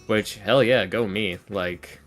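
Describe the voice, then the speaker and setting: A man's voice: fairly low pitch, slow. A man in his early twenties chatting casually as a podcast guest, rambling along in an informal, slangy way. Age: early 20s. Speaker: interview guest, ASMR roleplay creator and voice actor. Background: soft guitar music.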